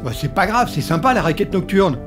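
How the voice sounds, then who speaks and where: A man's voice: high voice, big pitch swings, a little fast, music in the background. A man playing a carefree, dismissive resort guest in an amateur audio drama, flippant and breezy.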